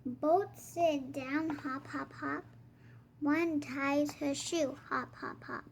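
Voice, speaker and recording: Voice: high voice, fairly even intonation, slow. A child reading a rhyming picture book aloud in a sing-song, rhythmic way, as host of a reading channel. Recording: clear background noise.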